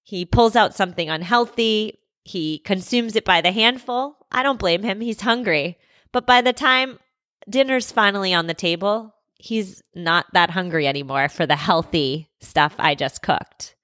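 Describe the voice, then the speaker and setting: A woman in her thirties hosting a podcast, hoarse and scratchy from a cold, speaking in a candid, conversational way with light self-mockery. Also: medium pitch, a little slow, a bit bright, no echo, clean studio sound. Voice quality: scratchy, gruff. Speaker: podcast host. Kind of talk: scripted podcast monologue.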